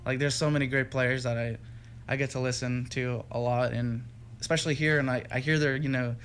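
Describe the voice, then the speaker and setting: A man's voice: mid-range voice, fairly even intonation, a little fast, fairly bright tone, a little hiss or hum. Rambling young Texan man. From Texas. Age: young adult. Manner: rambling, many fillers and restarts. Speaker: guest musician. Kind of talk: casual interview chat. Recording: radio studio with faint music behind.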